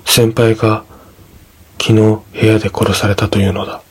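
A man narrating a scripted ghost story in a measured, dramatic storytelling manner. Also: on the low side, little pitch movement, breathy edge.

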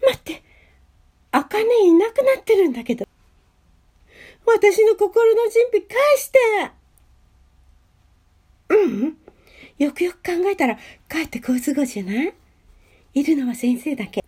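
Radio drama schoolgirl played by a woman: high-pitched, proud and easily flustered, swinging melodramatically. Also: lively intonation, a little slow, pauses often, warm tone. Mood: nervous, psyching up. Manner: dramatic, tsundere-like, talks to herself. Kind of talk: amateur radio drama acting.

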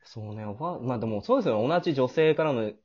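A man's voice: medium pitch, lively intonation, rapid-fire, darkish tone, breathy edge. A man hosting a casual backstage chat in a chatty, explanatory manner, as a producer talking things through. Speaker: host and producer of an audio drama. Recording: slightly muffled, lo-fi voice call.

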